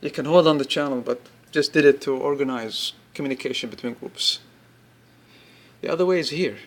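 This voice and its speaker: A man presenting an informal product demonstration, explaining step by step in halting phrases and repeating himself. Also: mid-range voice. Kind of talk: informal spoken product demo.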